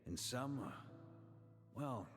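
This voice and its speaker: A man's voice: medium pitch, lively intonation, very slow, big-room sound, soft background music. A man playing a genial, seasoned knight commander in a video game, assured and fatherly. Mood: earnest, fatherly. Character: seasoned, genial male knightly grand master.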